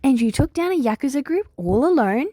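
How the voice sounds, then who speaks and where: A woman's voice: high-pitched, big pitch swings, brisk, warm tone, no room sound, pitched above the performer's own voice. A woman voicing a cheerful, shrewd little male animal principal in an audiobook-style story, blithe and inquisitive. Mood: bright, probing.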